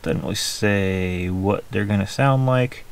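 Male hobby reviewer, low pitch, casual thinking-aloud delivery, very noisy indoor recording with handling clicks. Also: unhurried. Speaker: haunted-house hobbyist reviewing gear. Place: indoors at a cluttered workbench.

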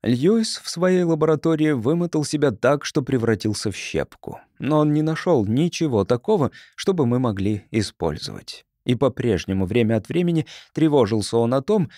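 A man narrating fiction as an audiobook reader, in an expressive storytelling style. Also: medium pitch, normal speed, few pauses, no echo, studio quality.

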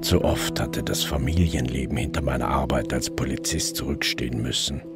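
A tired cop in his 40s narrating a crime radio play, super deep voice, sombre n measured, loud music behind. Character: weary divorced Swedish police inspector in his forties. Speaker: lead actor and narrator.

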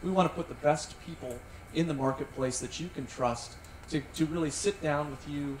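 A man's voice: a little high, normal speed. A middle-aged man, a marketing director, speaking in a polished corporate way on a panel into a handheld mic in a tent. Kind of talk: panel discussion. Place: large tent at an outdoor event. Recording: roomy sound from some distance, faint noise.

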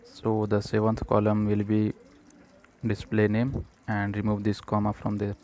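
A man presenting a step-by-step programming tutorial, speaking haltingly in short fragments. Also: on the low side, monotone, a little mellow. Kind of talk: step-by-step screencast tutorial narration.

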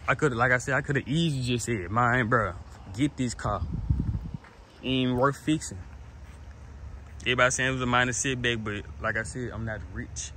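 A nineteen-year-old man rambles to his phone camera while walking outside, circling back to the same points and restarting his sentences. His voice sits in an ordinary middle pitch. Outdoor noise runs clearly under his talk. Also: fairly quick, sharp tone. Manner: rambling, repeats himself, lots of restarts. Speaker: car vlogger. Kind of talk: casual vlog to camera. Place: outdoors in a driveway beside a car. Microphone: phone held at arm's length.

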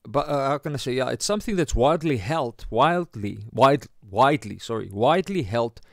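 A man with a South African accent giving an informal sermon as a pastor, halting as he searches for words. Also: medium pitch, expressive, dry recording, clean studio sound. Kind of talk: informal sermon, Bible teaching.

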